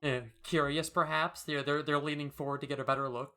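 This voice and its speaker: A male co-host describes a comic in casual chat. His voice is bright and forward, slightly high for a man, and he talks in a loose, halting, agreeable way. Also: little pitch movement.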